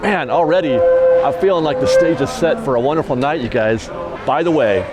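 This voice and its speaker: A man's voice: on the high side, wildly swooping pitch, normal speed. A man vlogging outdoors at a theme park at dusk, talking in a reflective, rambling, sentimental way on a lapel mic. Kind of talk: casual walking vlog talk. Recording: outdoor crowd chatter behind.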